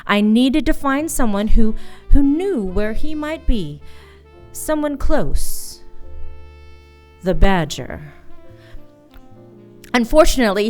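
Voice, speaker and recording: A woman playing a tough, wry private detective in a noir radio play, with a halting delivery. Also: on the high side. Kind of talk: noir radio play acting, first-person narration. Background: music behind the voice.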